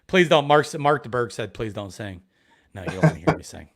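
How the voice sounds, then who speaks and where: Rambling, excitable man chatting. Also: medium pitch, fairly quick, a crowd in the background. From Ohio. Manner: excitable, rambling, many restarts. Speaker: metal detecting YouTuber, guest. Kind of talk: casual live stream chat.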